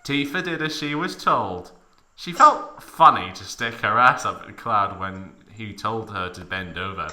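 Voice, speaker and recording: A man's voice: lowish voice, lively intonation, a little slow, pushed and bright. A man giving a mock dramatic reading of fan fiction, with theatrical, exaggerated emphasis.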